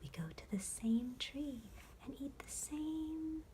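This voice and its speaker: A woman voicing contented, routine-bound butterflies in a measured whisper for an ASMR bedtime storybook. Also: sits high, expressive, warm tone, a little hiss or hum, pitched above the performer's own voice, poor audio. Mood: placid, content. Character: contented, set-in-their-ways butterflies, gentle and routine-bound.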